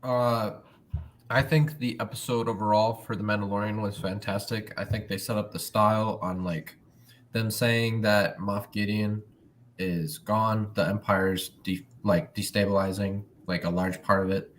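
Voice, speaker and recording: Lowish man riffing with lots of "like". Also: steady tone, unhurried, a little hiss or hum. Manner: loose, with many "like" fillers. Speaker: podcast co-host. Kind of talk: casual chat.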